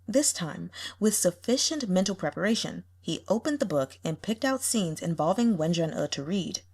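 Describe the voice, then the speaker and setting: Casting: female audiobook storyteller, mid-pitched, lively intonation, slightly husky, expressive scripted delivery. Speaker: audiobook reader.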